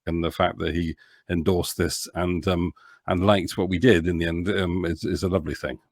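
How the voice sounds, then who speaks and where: Very deep-voiced man talking, hesitant and earnest. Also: level tone, normal speed, dry recording, studio quality. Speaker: podcast guest, audio drama producer. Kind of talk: casual interview talk.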